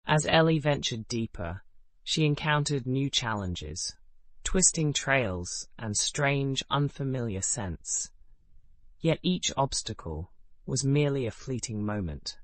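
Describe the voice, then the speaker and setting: Calm male bedtime story narrator. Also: mid-range voice, slow, dry recording.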